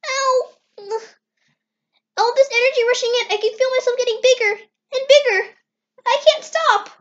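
Someone doing a very high, earnest young boy fox. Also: normal speed. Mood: panicked. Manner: earnest, excitable. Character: young boy fox, eager, anxious, growing giant. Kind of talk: amateur comic dub. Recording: dull, lo-fi screen-recorded sound.

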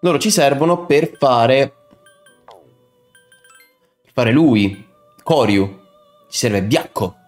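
A man's voice: medium pitch, expressive, slow, long pauses. A young man doing casual live game commentary, muttering to himself and chatting with viewers as he plays. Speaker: video game streamer. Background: video game music.

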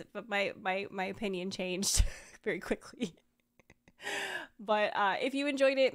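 Young adult female streamer, smooth clear voice, effusive casual reaction chat on a close mic at home. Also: medium pitch. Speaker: streamer and video host. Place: small home streaming room. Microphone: close desk mic, headset worn.

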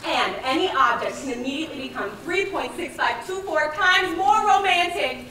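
Actress as a high-pitched, animated lady scientist, echoey theater. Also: moderate pace, a little hiss or hum. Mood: perky, mock-earnest. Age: young adult. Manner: theatrical, emphatic delivery. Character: perky young woman scientist lecturing on romantic comedy rules. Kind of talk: scripted comic stage narration. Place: stage in a large theater. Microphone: far camera. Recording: distant echoey theater with audience.

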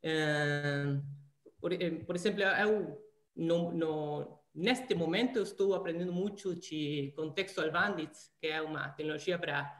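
A young man from Argentina with a clear Argentine Spanish accent, speaking as a native Spanish speaker, answers questions over a laptop webcam. He talks hesitantly, repeating and restarting words, and his voice rings in an echoey room at home. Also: on the high side, unhurried, fairly bright tone. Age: young adult. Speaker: data scientist guest. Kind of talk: video call interview. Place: small room at home, indoors. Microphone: laptop webcam on video call.

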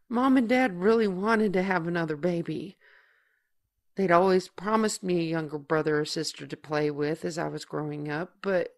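A woman playing a tender, protective older sister in an audio drama, speaking with halting emotion. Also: fairly low pitch.

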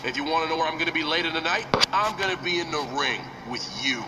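A man's voice: on the high side, fast talker, natural voice. A man voicing a cocky slang-talking rapper wrestler. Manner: trash-talking street slang. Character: brash young male rapper wrestler, cocky. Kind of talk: video game cutscene dialogue. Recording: distant, roomy, noisy game audio.

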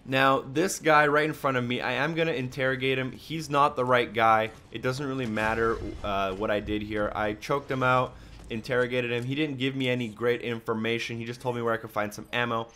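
A man's voice: mid-range voice, steady tone, a little fast, sharp tone. A man giving a casual, step-by-step walkthrough commentary as a video game guide narrator. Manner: instructional, step-by-step. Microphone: voice-over on a microphone.

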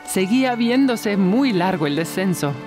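Una mujer que narra una historia infantil con guion, como cuentacuentos. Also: female voice, medium pitch, expressive, a little slow, over music. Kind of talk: scripted children's storytelling narration.

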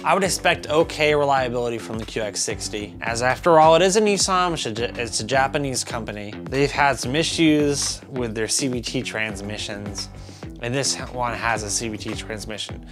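A man in his thirties talks through car picks in a loose, tipsy way, stumbling and stretching words. His voice sits at an average pitch. Music plays clearly behind his casual, close-miked delivery. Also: normal speed. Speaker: host of a car review show. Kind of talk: casual scripted-list review to camera with asides. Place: small home office room with computer monitors. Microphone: lavalier clipped to shirt.

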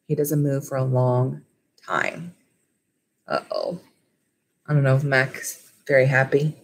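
A woman in her thirties with a low-pitched voice reads a story aloud for schoolchildren. She narrates like a school librarian and slips into casual asides to her listeners. She is recorded at desk distance in a small room. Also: level tone, takes it slowly, slight background noise. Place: small wood-panelled room at home. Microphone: webcam or laptop at desk distance.

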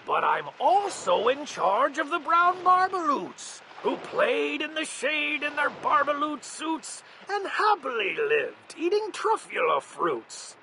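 Man as small scolding forest creature, storybook, indignant, emphatic, high voice, noisy recording. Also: very animated, slow pace, hardly any pauses, sharp tone, heavily acted. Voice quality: coughing, croaky.

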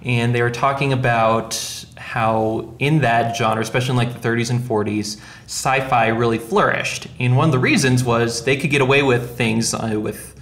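Medium-pitched man hosting a casual discussion. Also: steady tone, normal speed, few pauses, a bit bright. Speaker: podcast host.

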